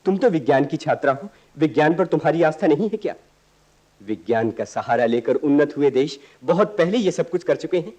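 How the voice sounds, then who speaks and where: A man's voice: a little high, lively intonation, a little fast, darkish tone. A male actor playing an impassioned, stubborn young idealist in a radio play, with earnest, oratorical delivery. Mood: impassioned, lecturing. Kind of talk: Hindi radio play acting.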